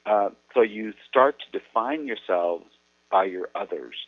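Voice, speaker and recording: A Mexican American man, an educator, explaining things earnestly in a measured way with thoughtful pauses. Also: fairly low pitch, dry recording. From United States. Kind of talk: explanatory talk on radio. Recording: tinny phone-like radio audio.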